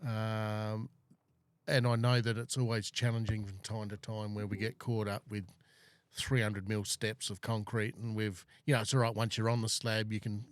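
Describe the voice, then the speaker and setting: Low-voiced man, chatty host. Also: level tone, normal speed, no room sound. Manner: rambling, filler-heavy, Aussie-casual. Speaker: podcast host. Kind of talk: casual chat.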